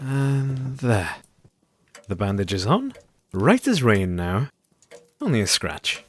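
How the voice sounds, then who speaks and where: A man acting in an audio drama as a gentle, troubled Victorian doctor with courteous, formal speech. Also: mid-range voice, big pitch swings, slow. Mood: reassuring.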